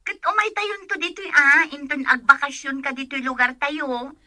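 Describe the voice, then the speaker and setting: A woman's voice: high-pitched, moderate pace, pushed and bright, no echo, studio quality. An actress playing a caring young countrywoman in a radio drama. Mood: friendly.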